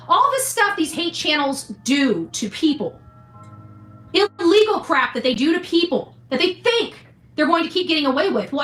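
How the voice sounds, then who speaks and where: Female livestream host, indignant and blunt, animated bright delivery, scolding opinion rant with repetition. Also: high-pitched. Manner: indignant, scolding, repeats phrases for emphasis; blunt, mildly profane. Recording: echoey room, faint music behind.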